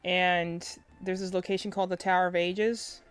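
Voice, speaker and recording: A young woman with a quiet, soft voice chatting casually on a game stream, rambling with lots of fillers and restarts, close to her desk mic. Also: fairly low pitch, little pitch movement, normal speed, clean tone, soft background music. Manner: rambling, filler-heavy, self-interrupting. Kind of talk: casual live-stream chat. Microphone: desk mic close to face.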